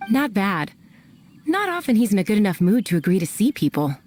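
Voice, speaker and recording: A woman's voice: mid-range voice, sing-song, fairly quick, darkish tone, a little hiss or hum, close to an everyday voice. A woman playing a composed, knowing inn boss with a wry manner in a video game. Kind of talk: game voice acting. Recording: music playing behind.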